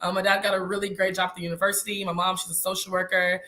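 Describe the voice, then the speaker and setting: A woman in her thirties talking casually as an interview guest. Her nose is congested, which gives her bright voice a nasal edge, and she laughs often. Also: on the low side, little pitch movement, very fast. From Mississippi, US. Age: 30s. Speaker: guest, nurse.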